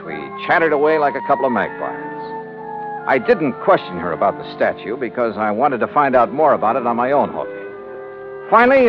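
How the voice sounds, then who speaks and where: A brisk, wry private investigator, played by a man tells his own case in the manner of 1950s radio drama. His pitch moves in a lively way as he talks. The sound is muffled and lo-fi, with clear music playing underneath. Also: natural voice. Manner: brisk, wry first-person storytelling. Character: hard-boiled male insurance investigator. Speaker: narrator-detective.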